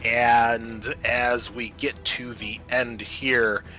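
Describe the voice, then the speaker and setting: A man's voice: medium pitch, flat delivery, very slow, sharp tone, rough recording. A man acting as game master, narrating a tabletop game in a casual, bantering way. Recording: music behind the voice. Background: music.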